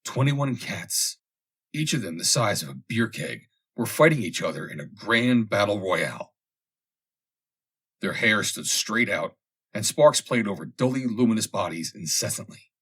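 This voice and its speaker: A man narrates a vintage comic tale in a fairly low, husky voice. His delivery is dramatic and expressive, with lively swings in pitch as he reads the scripted prose. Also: unhurried. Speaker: audiobook reader.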